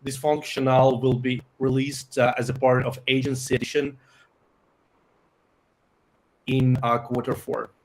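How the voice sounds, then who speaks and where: Ukrainian guy explaining his product, slow halting answers, lots of uhs. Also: medium pitch, level tone, a little mellow, rough recording. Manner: halting, many fillers and pauses. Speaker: software company founder. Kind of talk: live interview answers.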